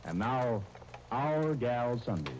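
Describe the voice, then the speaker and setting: A man announcing and narrating in formal 1930s radio style, with measured, deliberate phrasing. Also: mid-range voice, big pitch swings, rough recording. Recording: old 1930s radio disc, faint noise.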